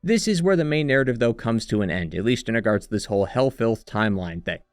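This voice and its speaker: A man's voice: on the low side, lively intonation, fast, no room sound, soft background music. Dry-recorded sardonic male narrator. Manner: sardonic, wry asides. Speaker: video essayist and narrator. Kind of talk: scripted retrospective narration with commentary. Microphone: close, dry voice-over mic.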